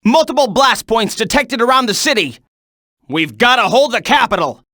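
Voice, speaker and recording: A video game soldier in the thick of battle. The delivery is clipped and urgent, with a sharp, forceful edge and animated swings in pitch. It sounds close and dry. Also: male voice, normal speed, no room sound, heavily acted. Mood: urgent, commanding. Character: tense military soldier barking combat reports and orders.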